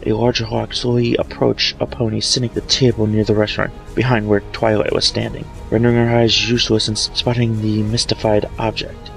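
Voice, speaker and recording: A man's voice: lowish voice, little pitch movement, brisk, creaky, few pauses, poor audio. A man reading a story aloud as a dramatic narrator, recorded on an amateur microphone. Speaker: fan fiction reader. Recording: music behind, amateur microphone.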